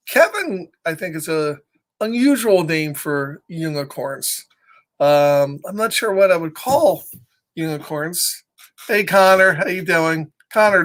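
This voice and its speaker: Middle-aged man, children's storybook host, animated and playful, chatty asides, asks the listeners questions. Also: high-pitched, unhurried. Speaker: storybook read-aloud host. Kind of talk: live children's story time, chatting with viewers between lines of the book.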